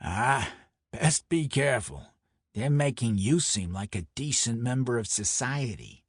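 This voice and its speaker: A man's voice: medium pitch, very animated, unhurried, slightly rough, no room sound, clean studio sound. A man playing a brash, mocking, hot-tempered mob enforcer with a Brooklyn accent in dramatic audio fiction, taunting and blustering. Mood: jovial, needling.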